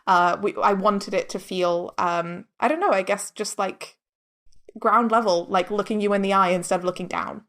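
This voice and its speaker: A woman's voice: mid-range voice, normal speed, fairly bright tone. A talkative, informal woman speaking emphatically as a guest in a casual podcast interview. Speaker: interviewed audio drama director and producer.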